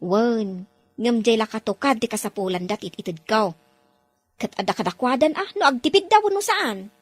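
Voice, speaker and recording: An earnest young maid working abroad in an audio drama, voiced by a woman. Her high voice moves lively up and down, and she sounds close and dry. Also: moderate pace, no room sound. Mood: advising. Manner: earnest, pleading. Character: young Ilocana domestic worker abroad, earnest. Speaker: overseas worker in a drama.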